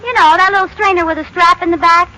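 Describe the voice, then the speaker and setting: Casting: teasing, ditzy housewife, very high voice, theatrical 1940s radio comedy, heavy noise on the recording. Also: female voice, fairly even intonation, quick pace, a crowd in the background. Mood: bright, eager. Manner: sarcastic, theatrical. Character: Liz, scatterbrained, teasing young housewife. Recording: noisy old radio broadcast recording.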